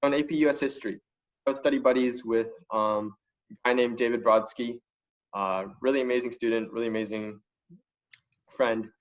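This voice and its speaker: A man's voice: mid-range voice, steady tone, moderate pace, pauses often. Dull-sounding recording of a young man, casual. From China. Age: young adult. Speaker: student panelist. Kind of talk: casual spoken testimonial. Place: online video conference call. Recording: dull lo-fi online call audio.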